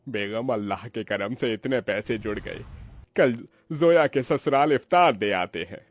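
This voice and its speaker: A man's voice: mid-range voice, measured pace, dry recording, lightly acted. A man voicing a poor, proud and pious older uncle in a sentimental cartoon drama, speaking earnestly. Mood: weary, devout. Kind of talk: melodramatic cartoon voice acting.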